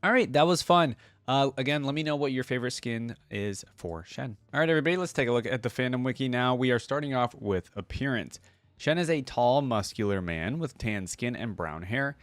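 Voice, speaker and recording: A man hosting a reaction video, reading text aloud and commenting casually as he thinks out loud to his viewers. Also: medium pitch, expressive, fairly quick, no room sound.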